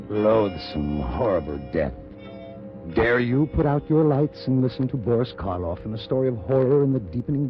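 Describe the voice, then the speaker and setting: A man narrating as the announcer of a 1940s radio horror show, ominous and theatrical. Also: mid-range voice. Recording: dull 1940s radio recording. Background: loud dramatic music.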